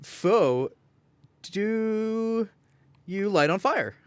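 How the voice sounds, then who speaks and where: A man chats as a podcast co-host in a loose, joking style. His pitch moves around a lot as he banters, and he can be crude. The sound is dry, with very little room around the voice. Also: animated, very slow, clean tone, no room sound. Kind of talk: casual banter.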